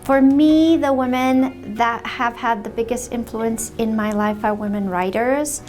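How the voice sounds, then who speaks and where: A woman from Zimbabwe speaks in a reflective personal testimonial. She talks slowly and thoughtfully, pausing on ums, in a slightly high voice. Soft music plays faintly underneath. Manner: thoughtful, unhurried, with frequent ums. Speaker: state refugee services director.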